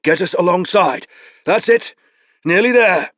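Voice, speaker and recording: A man's voice: a little high, lively intonation, moderate pace, vocal fry, a bit bright, husky, no room sound, far from the mic, voice pushed up, exaggerated voice. A man voicing a determined warrior who gives urgent orders, in an audiobook. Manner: urgent, commanding. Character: determined male warrior leader under strain.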